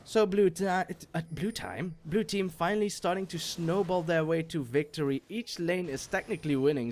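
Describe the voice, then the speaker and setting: Man shoutcasting a game replay. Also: a little high, a little hiss or hum. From Belgium. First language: not English. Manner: jokey, self-mocking, rises sharply on exciting plays. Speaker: esports shoutcaster. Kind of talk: solo game commentary over a replay.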